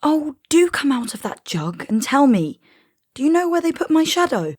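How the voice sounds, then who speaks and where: A woman's voice: high-pitched, sing-song, moderate pace, a little mellow, dry recording, clean studio sound. A woman playing a cocky young boy in a full-cast audiobook, with a blunt, defiant manner. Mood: urgent, calling. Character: cocky, boastful young boy who never grows up.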